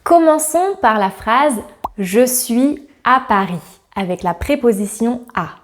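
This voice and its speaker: Friendly female language teacher, fairly high voice, clear and direct to camera. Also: animated, slow pace, music in the background. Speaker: French teacher. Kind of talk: scripted grammar lesson to camera.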